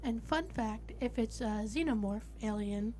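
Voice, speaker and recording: A woman's voice: on the high side, a little slow, warm tone, a little hiss or hum. A woman chatting casually with fellow players during a tabletop roleplaying game. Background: music.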